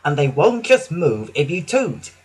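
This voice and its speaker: Storybook: calm, gentle old engine, put on by a male reader, husky. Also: fairly high pitch, unhurried, full character voice.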